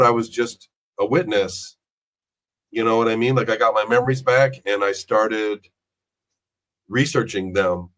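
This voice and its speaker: A man with a cold and a hoarse throat, guesting on an interview show and talking in an earnest, rambling way. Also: medium pitch, a bit bright, low-quality recording. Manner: earnest, rambling, frequent fillers. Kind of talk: casual interview talk.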